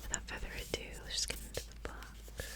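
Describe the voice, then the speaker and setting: Female ASMR host, fully whispered delivery, intimate and casual, lingers on repeated words. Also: quick pace. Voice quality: breathy unvoiced whisper. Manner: repeats words and short phrases as triggers. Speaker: ASMR video creator and reader. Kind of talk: ASMR, intimate casual chat and reading aloud.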